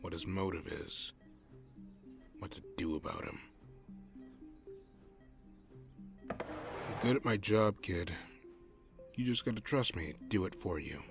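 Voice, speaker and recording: A man playing a gruff, cynical, determined detective in an audio drama, blunt and condescending, with swearing. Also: low-pitched, animated, moderate pace, long pauses, music in the background. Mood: determined, cocky.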